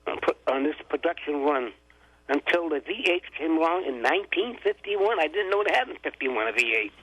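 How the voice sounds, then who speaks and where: A man's voice: a little high, lively intonation, brisk, sharp tone, breathy edge, dry recording, rough recording. A man co-hosting a radio car show, talking about old cars in a folksy, informal way with loose grammar. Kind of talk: casual on-air car chat.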